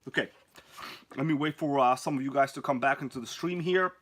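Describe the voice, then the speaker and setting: A man's voice: mid-range voice, brisk, a bit bright. A man hosting a casual live stream while sick with a cold and nearly losing his voice, joking around and greeting viewers by name.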